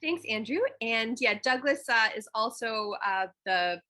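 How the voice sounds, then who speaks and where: Female panel host, informal and bright, frequent fillers. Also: fairly high pitch, unhurried. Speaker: panel moderator. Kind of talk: hosting an online pitch panel.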